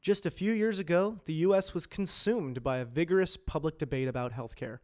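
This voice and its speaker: A man with a fairly high-pitched voice narrates scripted informational material. He speaks in a measured presenter's manner, enunciating carefully as in an educational voice-over. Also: darkish tone. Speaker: amateur voice actor practising reads.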